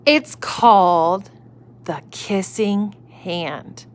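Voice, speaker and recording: Tender mother raccoon, soft and reassuring, voiced by a woman reading aloud. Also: medium pitch, very slow, a little hiss or hum. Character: gentle, loving mother raccoon.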